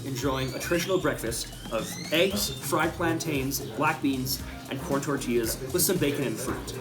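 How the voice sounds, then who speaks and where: Male guest presenter addressing the camera, music clearly audible behind him. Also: medium pitch, moderate pace. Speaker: guest presenter giving a cooking challenge.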